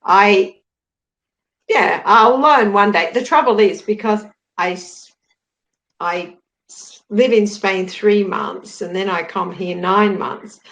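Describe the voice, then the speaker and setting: Woman, English tutor, unhurried and patient, mid-range voice. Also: medium pitch, a bit bright, poor audio. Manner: patient, rephrases questions. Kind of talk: online language lesson conversation.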